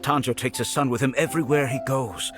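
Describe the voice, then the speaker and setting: A man's voice: mid-range voice, fairly even intonation, fast, natural voice. An actor playing an earnest, matter-of-fact townsman in a video game. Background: music.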